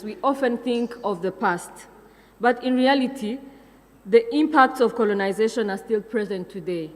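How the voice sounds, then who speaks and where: A young woman from Rwanda gives a prepared conference talk, her voice sitting somewhat high. She reads her script steadily, with a few fillers and quick self-corrections. A long hall reverb trails behind her words. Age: young adult. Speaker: conference speaker.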